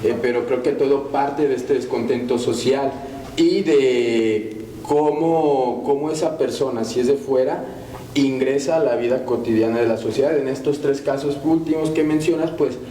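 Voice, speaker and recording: A panelist feels his way through his answer, pausing and filling gaps as he goes. His voice is mellow and relaxed. The studio adds echo, with a faint hiss behind. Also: medium pitch. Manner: hesitant, many fillers. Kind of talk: debate answer. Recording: echoey studio with faint noise.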